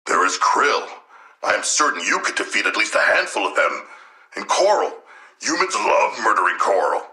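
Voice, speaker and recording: Very deep, forceful, erudite shark villain. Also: male voice, roomy sound, heavily acted, rough recording. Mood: grand, intense. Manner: formal, eloquent, measured, deadpan diction. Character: hulking, erudite, gentle yet proud humanoid shark villain. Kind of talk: video game character voice lines.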